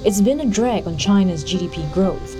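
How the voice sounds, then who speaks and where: A woman reading scripted news narration in a measured, even way. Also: medium pitch, over music.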